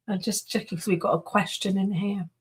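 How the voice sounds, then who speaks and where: Brief: female co-host, hoarse voice, chatty and agreeable, relays viewer questions. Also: medium pitch. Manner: warm, agreeable, often laughs and affirms. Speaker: co-host reading audience questions. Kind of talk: casual conversational Q&A.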